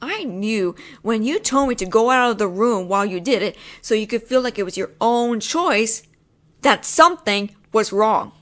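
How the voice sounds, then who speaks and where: Brief: woman, slightly high voice, close mic, roleplay as a possessive roommate, insistent and teasing. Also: animated, moderate pace, a bit bright. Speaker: voice actress playing a possessive roommate. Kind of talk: scripted one-sided audio roleplay.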